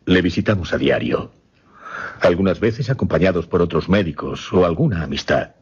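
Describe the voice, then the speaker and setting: An earnest mesmerist played by a man speaks formally in a low, very breathy voice that moves widely in pitch. It is grave horror radio drama with a dull, muffled sound. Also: expressive, normal speed, darkish tone, exaggerated voice. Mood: grave, defensive. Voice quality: low, very husky. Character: earnest male mesmerist recounting and conducting a grim experiment. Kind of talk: Spanish-language horror radio play acting.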